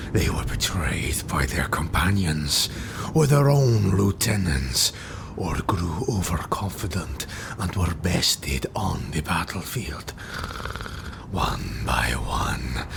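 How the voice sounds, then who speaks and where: Grim evil beast thing, hoarse whispery growl, draggy and ominous, noisy recording. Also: male voice, deep voice, pitched below the performer's own voice, exaggerated voice. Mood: dark, menacing. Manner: slow, ominous, drawn-out phrasing. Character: cruel old beast-creature Hadar, fanged, grim, menacing. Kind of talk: fantasy audiobook character voice.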